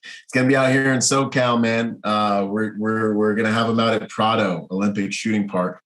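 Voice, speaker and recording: American male podcast host, lower register, casual, earnest, slangy delivery. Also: fairly even intonation, moderate pace, fairly bright tone.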